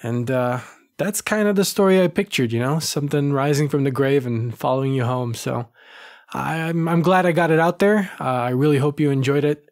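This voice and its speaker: A man narrating a first-person horror story with measured, slow phrasing, who also hosts the channel. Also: on the high side, darkish tone. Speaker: audio drama creator and narrator.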